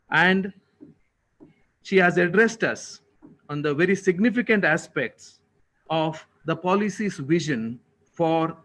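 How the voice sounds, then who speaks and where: A man with an Indian accent giving a formal, prepared thank-you speech as a college official, with deliberate, ceremonious and courteous phrasing. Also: a little high, fairly bright tone, rough recording.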